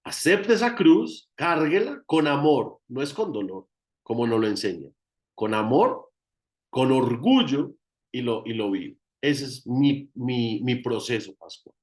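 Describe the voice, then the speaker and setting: A man's voice: mid-range voice, big pitch swings, a little slow. A man with a Colombian accent giving an informal, emphatic teaching talk as a guest therapist, often repeating phrases for emphasis.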